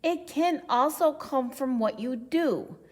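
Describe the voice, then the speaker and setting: Slow-reading woman in an echoey space. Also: sits high. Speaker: storybook reader. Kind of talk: read-aloud of a children's picture book. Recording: echoey, long reverb.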